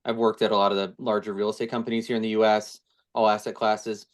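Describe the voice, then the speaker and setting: A man on a video call, co-founder of a real estate firm, answering interview questions in a relaxed way with many restarts and fillers. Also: on the low side, quick pace.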